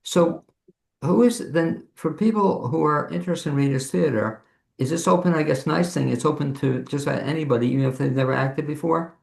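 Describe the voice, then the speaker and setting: A man hosting a conversational interview, putting questions to his guests as if thinking out loud. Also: a little high, a little fast, vocal fry. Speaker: host, interviewer. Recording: echoey room sound.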